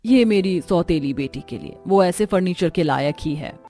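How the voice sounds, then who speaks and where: A woman's voice: lowish voice, animated, normal speed, dark tone, soft background music, close to an everyday voice. Woman playing a haughty, boastful cartoon mother. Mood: gushing, scornful. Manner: showy, imperious. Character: boastful, haughty mother, scornful of her stepdaughter.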